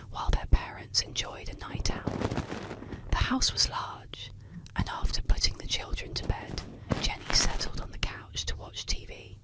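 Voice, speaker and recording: Whispering woman, breathy ASMR storyteller. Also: low-quality recording. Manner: hushed, unhurried, deliberate enunciation. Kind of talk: soft whispered ASMR scripted bedtime storytelling. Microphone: close-up microphone.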